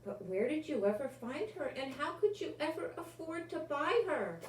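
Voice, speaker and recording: An older woman reading the part of a tender, surprised elderly neighbor in a storybook, with slow, emotional exclaiming. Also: high voice, big pitch swings, echoey room, recorded from a distance, slight background noise, pitched above the performer's own voice. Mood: amazed, moved.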